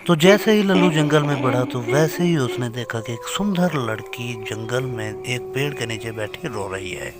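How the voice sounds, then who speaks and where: A man's voice: medium pitch, animated, moderate pace, hardly any pauses, over loud music. A man reading scripted horror fiction as a storyteller.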